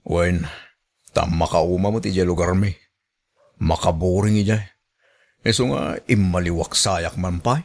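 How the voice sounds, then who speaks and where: A man's voice: sits low, measured pace, pauses often. An actor with an Ilocano accent playing a familiar, flirtatious and sympathetic village man in a radio drama. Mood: curious, chatty.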